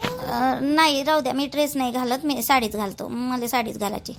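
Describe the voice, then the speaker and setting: A woman's voice: high-pitched, lively intonation, normal speed, gravelly, slight background noise. A woman with a Vidarbha Marathi accent playing a dutiful young newlywed daughter-in-law in a village cartoon, chatty, rustic and deferential. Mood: reluctant, protesting.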